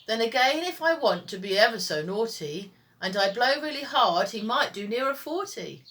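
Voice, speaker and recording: Woman as a high, mischievous storybook wind spirit. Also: lively intonation, normal speed, fairly bright tone, low-quality recording. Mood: gleeful mischief. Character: mischievous, gleeful wind spirit plotting tricks. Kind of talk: children's rhyming storybook character voice.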